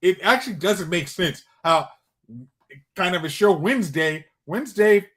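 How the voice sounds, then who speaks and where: A man's voice: high voice, lively intonation, normal speed, a bit bright. A man co-hosting a podcast, in casual chat about pop culture. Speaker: podcast co-host.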